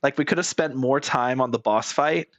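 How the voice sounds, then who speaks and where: A man's voice: mid-range voice, moderate pace, fairly bright tone, no echo. A man doing casual, rambling game commentary as a streamer, blunt and informal with plenty of swearing.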